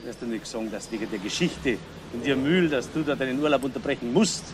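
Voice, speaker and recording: An actor playing a genial, teasing police colleague in a crime radio play. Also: male voice, medium pitch, quick pace, background noise, lightly acted.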